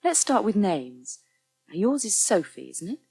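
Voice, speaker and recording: An actress playing a calm crisis volunteer in a radio soap, asking gentle, matter-of-fact questions in a husky voice. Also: female voice, medium pitch, unhurried, full character voice. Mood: tactful, probing. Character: calm female crisis-centre volunteer, tactful and probing. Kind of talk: British radio soap acting.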